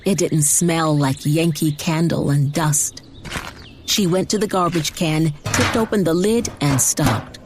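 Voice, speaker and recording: A woman reading dramatized fiction narration in a measured way with deliberate emphasis. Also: sits low, noisy background. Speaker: audiobook narrator.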